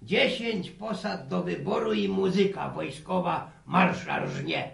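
A sly old country grandfather with a very gravelly, unhurried voice and rural Polish dialect. He teases and mocks in a folksy radio-play manner, repeating his words. The sound is roomy. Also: high-pitched, a bit bright, exaggerated voice. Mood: teasing, warning. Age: older. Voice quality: creaky. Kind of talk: radio drama acting.

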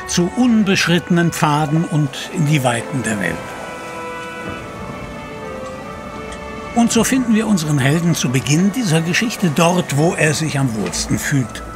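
French author-narrator: florid showman, fairly high male voice, music underneath. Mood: storytelling. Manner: courtly, theatrical, florid. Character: grandiloquent French male author-chronicler greeting his audience. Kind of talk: scripted audio drama narration.

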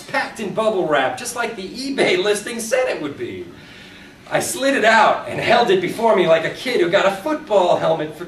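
Male author, theatrical and wry horror storyteller, lively pitch movement, echoey live room with faint noise. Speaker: author reading his own fiction. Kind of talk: dramatic live reading of short horror fiction. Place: live reading at a convention, indoors. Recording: roomy, distant live recording with background noise.

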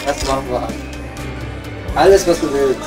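A man playing a womanising coal miner with a Ruhr German accent, chatting haltingly and casually in an improvised audio comedy. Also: mid-range voice, expressive, close to an everyday voice. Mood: sweet-talking. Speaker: comedy performer. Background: music.

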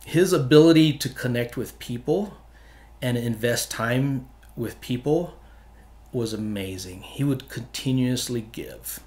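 A man's voice: medium pitch, measured pace, darkish tone. A middle-aged man from Hawaii, a photographer, answering interview questions earnestly with many restarts, in a small studio room at a desk mic. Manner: earnest, talks with his hands, many restarts. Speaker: photographer guest. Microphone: desk mic on stand.

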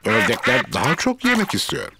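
A man's voice: on the high side, wildly swooping pitch, brisk, gravelly, background noise, full character voice. A man narrating a children's cartoon in a scripted storytelling style. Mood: friendly explanatory. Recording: loud cartoon music behind voice.

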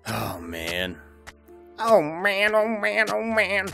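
A man hosting a casual let's play, excitable and jokey, yelling at game events. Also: sits high, extremely slow, creaky. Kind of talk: casual gameplay chat. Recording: loud game music behind voice.

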